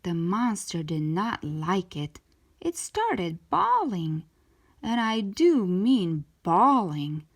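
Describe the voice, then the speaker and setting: Storytime host, female: very lively intonation, slightly husky, unhurried, expressive children's narration. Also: mid-range voice. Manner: expressive, drawn-out emphasis for young listeners. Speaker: storytime host reading a children's book aloud.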